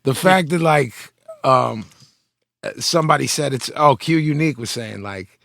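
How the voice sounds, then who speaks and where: Philly podcast host, gravelly, dry close mic, swears freely. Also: male voice, medium pitch, measured pace, no echo. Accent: Philadelphia. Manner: profane, animated storyteller. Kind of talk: casual chat.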